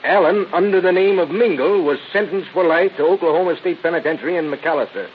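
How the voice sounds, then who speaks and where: Businesslike cop male actor, slightly high voice, muffled vintage radio drama. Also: quick pace, close to an everyday voice. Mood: matter-of-fact. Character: plain-spoken, businesslike male police detective colleague. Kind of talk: 1930s-40s radio crime drama acting. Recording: muffled old radio recording with hiss.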